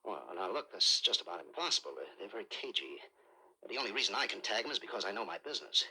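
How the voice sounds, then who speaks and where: An actor playing a shifty, money-grubbing private snoop with slangy, wheedling delivery in a vintage radio crime drama. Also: male voice, on the low side, sing-song, quick pace, bright, forceful tone, breathy edge, exaggerated voice, poor audio. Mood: cagey, grudging. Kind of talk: 1950s radio crime acting.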